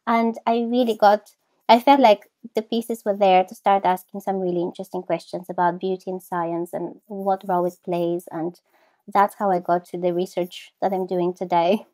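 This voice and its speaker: A woman's voice: lowish voice, little pitch movement, moderate pace, mellow, no room sound. A woman with a Bulgarian accent giving thoughtful, enthusiastic, reflective answers as an academic interview guest. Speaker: guest, philosopher of science.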